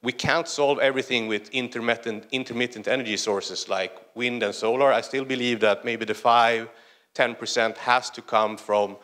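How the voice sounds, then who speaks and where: A man from northern Sweden with a Swedish accent, giving a conference presentation in a large hall in a conversational way with many "uh" pauses. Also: mid-range voice, steady tone, a bit bright. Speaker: conference speaker.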